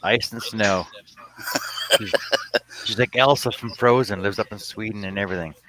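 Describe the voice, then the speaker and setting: A man's voice: fairly low pitch, normal speed, sharp tone, rough recording. A man joining a live stream panel late, chatting in a goofy, jokey way. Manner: goofy, laughing, sings jokingly. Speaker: panel guest. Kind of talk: casual group chat on a live stream.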